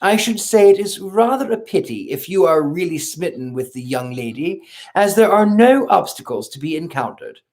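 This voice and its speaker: A reader voicing a suave, sardonic gentleman who speaks in measured, ironic tones in a storybook reading. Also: medium pitch, lightly acted. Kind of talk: audiobook reading.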